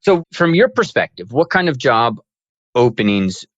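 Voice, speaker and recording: A man's voice: mid-range voice, very animated, normal speed, no room sound. A man hosting a casual interview, chatty and throwing in asides between his questions. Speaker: interview host. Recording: dull lo-fi audio.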